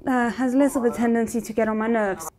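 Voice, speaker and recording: High-voiced woman giving casual reaction commentary. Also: brisk, crowd noise. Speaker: reaction video host. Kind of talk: casual reaction commentary while watching a drama.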